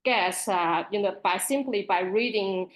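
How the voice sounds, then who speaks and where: A woman in her forties speaks earnestly over a video call, presenting her experience as a librarian and author. Her voice sits at an ordinary middle pitch, and she breaks up her phrases with small, self-conscious laughs. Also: a little slow, rough recording. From Taiwan. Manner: soft nervous laughs between phrases, earnest and candid. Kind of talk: webinar presentation.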